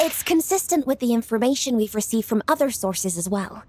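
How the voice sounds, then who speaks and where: Woman acting a higher-pitched, businesslike young official. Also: expressive, a little fast, dark tone, slight background noise, close to an everyday voice. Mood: serious. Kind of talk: video game voice acting.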